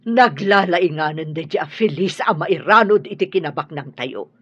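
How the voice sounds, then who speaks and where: A woman with a Filipino accent playing a domineering middle-aged mother in an Ilocano radio drama, husky and stern. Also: fairly low pitch, expressive, normal speed, a bit bright, no echo, dull audio. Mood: angry, hurt. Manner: stern, emotional, haughty. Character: domineering middle-aged mother scolding her grown son.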